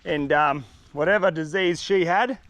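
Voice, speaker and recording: A man's voice: fairly high pitch, unhurried, fairly bright tone, no echo, highs cut off. A young man with a congested, blocked-up nose, chatting casually to camera as he hikes through a humid forest. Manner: laid-back, chatty, slangy. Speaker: fishing vlogger. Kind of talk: casual outdoor vlog to camera. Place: dense humid forest, outdoors.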